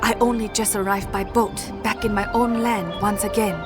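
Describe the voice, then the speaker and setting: A woman's voice: mid-range voice, fairly even intonation, moderate pace, loud music behind the voice, natural voice. An actress playing a solemn female warrior-scholar of an ancient order in a video game, speaking with earnest, formal drama. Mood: grave, earnest.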